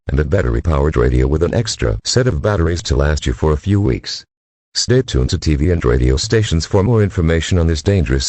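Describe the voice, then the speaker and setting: A man's voice: rumbling low, a little mellow, slightly rough, dry recording. A man reading a scripted emergency broadcast announcement in a formal, stiff manner.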